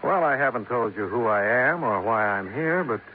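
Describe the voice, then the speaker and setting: Through the hiss and narrow band of an old radio show, an actor plays a tough American investigator. He sounds dry, wry and suspicious, with clipped delivery in a medium-pitched voice. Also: animated, moderate pace, close to an everyday voice. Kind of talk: 1950s radio detective narration and dialogue. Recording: noisy, thin 1950s radio recording.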